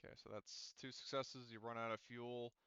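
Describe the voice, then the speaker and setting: A man's voice: mid-range voice, level tone, normal speed, background noise. A man talking casually as game master of an online tabletop game, often thinking aloud as he goes. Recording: dry, slightly dull audio.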